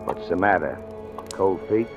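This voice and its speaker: An actor playing a smug, menacing gangster boss with slangy, mock-friendly wheedling in an old radio crime drama. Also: male voice, low voice, unhurried, highs cut off. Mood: commanding. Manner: wheedling, slangy tough-guy talk. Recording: old radio recording, faint music.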